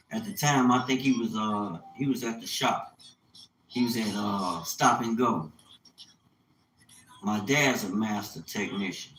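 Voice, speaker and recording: Man, fifties, mid-pitched voice, echoey room, faint noise, colloquial livestream monologue, repeated phrases. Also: a bit bright. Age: 50s. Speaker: livestreamer, small business owner.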